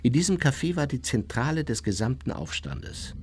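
An actor playing an unrepentant Nazi war criminal recounting his memoirs in a measured, matter-of-fact way for a radio play. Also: mid-range voice, very animated, noisy background, close to an everyday voice. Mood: self-satisfied, matter-of-fact.

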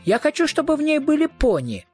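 A man's voice: very high voice, wildly swooping pitch, a little fast, a little mellow, faint music behind, pitched above the performer's own voice, full character voice. A man voicing a questioning, insistent little girl in a storybook reading. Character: little girl, sceptical, insistent pony-loving younger sister.

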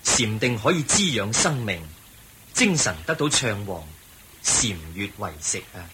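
An actor playing the Buddha as a kindly, patient monk in a Cantonese radio drama, with a Hong Kong accent. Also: male voice, lowish voice, big pitch swings, noisy background. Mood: patient, explaining. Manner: measured, patient explaining. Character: the Buddha, calm kindly male wandering monk and teacher.

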